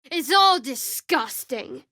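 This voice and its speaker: A guarded young woman cleric who serves a dark goddess. Her voice sits a little high, with a wry, sardonic edge to the delivery. The recording is very dry, as game dialogue with no room around it. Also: expressive, slow pace, no room sound, exaggerated voice. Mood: angry. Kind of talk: video game character voice lines.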